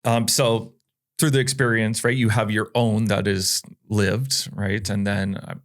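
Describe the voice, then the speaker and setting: A man's voice: on the low side, level tone, a little slow. A man hosting an interview, asking long, rambling questions full of fillers. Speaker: podcast host. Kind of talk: conversational interview.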